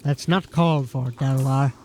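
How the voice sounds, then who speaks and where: An actor playing a rough, cheeky rogue in a fantasy audio drama, talking in a colloquial, wryly complaining way. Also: male voice, mid-range voice, fairly even intonation, a little slow, warm tone, natural voice. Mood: grumbling.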